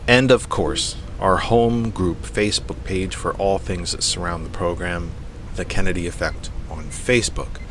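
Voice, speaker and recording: A man hosting a live radio talk show, congested and sniffly from allergies, speaking deliberately and repeating phrases for emphasis. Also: low-pitched, fairly bright tone, a little hiss or hum. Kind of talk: live internet radio talk, program announcements.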